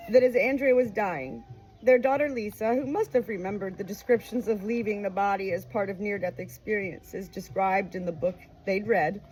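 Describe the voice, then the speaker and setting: A woman with a medium-pitched voice reads aloud in an earnest, emotional way. Her delivery is expressive and personal. Clear background noise with a crowing rooster sits behind her. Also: rough recording. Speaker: audiobook reader. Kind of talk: expressive book narration with personal commentary.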